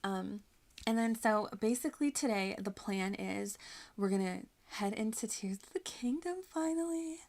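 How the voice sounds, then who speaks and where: Woman streamer with a creaky, fry-laced voice. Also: medium pitch, animated, moderate pace, darkish tone. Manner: giggly, self-deprecating, rambling. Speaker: video game streamer. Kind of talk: casual livestream chat over gameplay.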